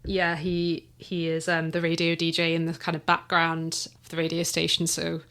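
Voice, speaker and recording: A woman's voice: sits low, completely flat delivery, normal speed, fairly bright tone, smooth. A woman with a Manx accent, chatting in a casual radio interview about her audio drama, laughing often and trailing off to avoid spoilers. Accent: Manx English. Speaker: audio drama writer and guest.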